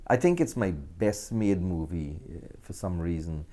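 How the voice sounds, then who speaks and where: A Guyanese man speaks in a low-pitched voice, answering in a casual interview style. His phrasing is hesitant, with pauses partway through his sentences as he explains things. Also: animated, warm tone. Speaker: interview guest, actor and filmmaker.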